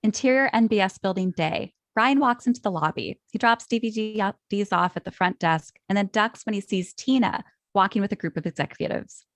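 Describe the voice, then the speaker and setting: A woman's voice: mid-range voice, normal speed, few pauses, no echo. A woman narrating script stage directions in a matter-of-fact way.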